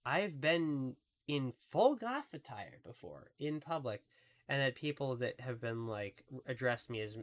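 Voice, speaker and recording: A woman with a really deep voice, casually commentating a live game stream and chatting with viewers in a rambling, profane way. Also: sing-song, a little slow. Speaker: VTuber streamer.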